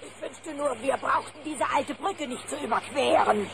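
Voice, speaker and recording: A woman's voice: high-pitched, normal speed, vocal fry, a crowd in the background. A woman playing a motherly, fretful older cartoon bear in a children's audio drama. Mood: resigned, motherly. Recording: old cassette with sounds behind.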